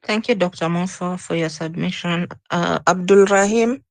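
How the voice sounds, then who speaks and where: Lady host running a panel, lowish voice, dry audio, passes the mic quickly. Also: no room sound. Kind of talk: moderating a discussion.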